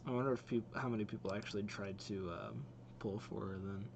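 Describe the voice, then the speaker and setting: A man hosting a reaction video, giving casual commentary as he thinks aloud in a halting way. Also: fairly low pitch, steady tone, background noise.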